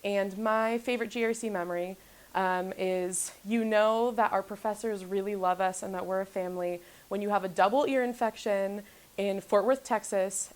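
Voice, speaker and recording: A young woman, a graduating student, recalling memories in an earnest, affectionate way. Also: medium pitch. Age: young adult. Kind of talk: casual personal reminiscence.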